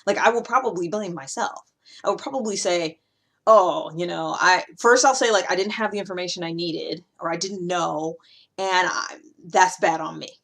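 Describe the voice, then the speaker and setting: A woman hosting a podcast in a casual, informal monologue, explaining ideas in a rambling, animated way and slipping into imagined replies. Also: fairly low pitch, moderate pace, creaky. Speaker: podcast host explaining personality typology.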